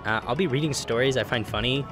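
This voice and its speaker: A man's voice: medium pitch, quick pace. A man narrating a game explainer voice-over in an informal, opinionated, emphatic way, with casual asides. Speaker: gaming video narrator. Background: background music.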